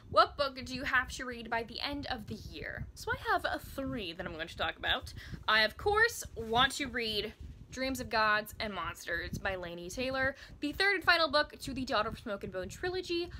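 An American woman in her twenties chatting casually to camera as a book vlogger, gushing and emphatic in an expressive way. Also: on the high side, a little hiss or hum. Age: 20s. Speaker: book video blogger. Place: small indoor room by bookshelves.

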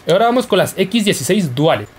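A man's voice: high voice, sing-song, rapid-fire, a little hiss or hum. A young man giving casual, joking commentary over his gameplay. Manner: playful, jokey, yelps and laughs while playing. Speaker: gaming YouTuber.

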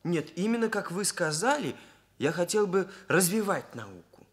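An actor playing an earnest, self-assured young hairdresser in a Soviet radio play, with formal, stilted bookish phrasing. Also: male voice, high-pitched, big pitch swings, moderate pace, breathy edge, exaggerated voice. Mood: earnest, proud. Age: young adult.

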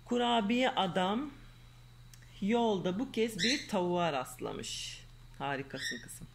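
A woman reading a children's storybook aloud to her daughter at home in a gentle, coaxing way, recorded on a phone. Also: medium pitch, expressive, slow pace, gravelly. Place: home, indoors, bedroom. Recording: loud home background noise.

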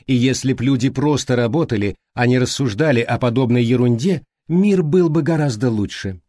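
A man voicing a prim, brisk, unsentimental female secretary in an audiobook, precise and matter-of-fact. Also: mid-range voice, mellow, no room sound, clean studio sound, natural voice. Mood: dismissive. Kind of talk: audiobook character reading.

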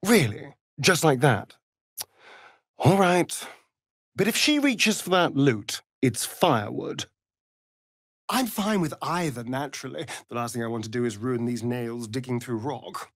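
An actor playing a sly, flamboyant, sardonic vampire rogue in a video game, with a British accent and an arch, camp, teasing drawl. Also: male voice, medium pitch, lively intonation, a little slow, no room sound, studio quality. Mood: dry, disdainful. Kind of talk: theatrical video game character acting.

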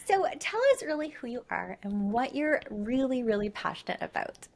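A woman's voice: fairly high pitch, expressive, normal speed, creaky, a little mellow. A middle-aged woman hosting an upbeat podcast interview in an effusive, emphatic way, close on the mic. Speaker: show host. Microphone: close podcast mic on a boom arm.

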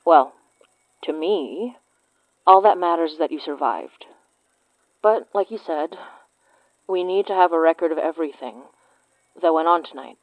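A woman's voice: low voice, lively intonation, moderate pace, pauses often, no room sound, phone-quality sound. An actress playing a wry, concerned female investigator in an audio drama, taking a colleague's statement in a dry conversational way. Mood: wry, caring.

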